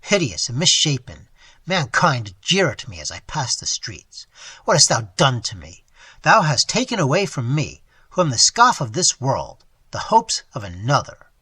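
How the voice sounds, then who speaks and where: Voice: mid-range voice, sing-song, moderate pace, sharp tone, breathy edge, no room sound, full character voice. A reader voicing a cold young male robber who speaks with hard, mocking scorn. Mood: bitter scorn.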